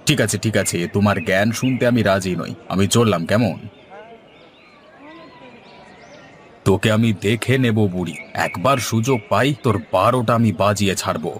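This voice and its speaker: A man's voice: on the low side, fast, few pauses, close to an everyday voice. A man voicing a rude, vindictive haggling customer in a cartoon, with a scornful, dismissive way of talking. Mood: threatening. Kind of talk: Bengali cartoon voice acting.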